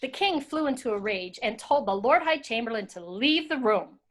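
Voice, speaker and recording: Higher-voiced American woman reading a story. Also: animated, moderate pace, background noise. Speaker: host and narrator, school teacher. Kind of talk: storybook narration and introduction.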